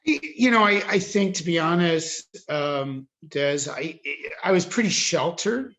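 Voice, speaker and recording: A man in his fifties with an American accent, giving reflective interview answers in a thoughtful, halting way and repeating words for emphasis. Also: a little high, animated, a bit bright. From suburban New Jersey, United States. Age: 50s. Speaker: interviewee, Jesuit priest.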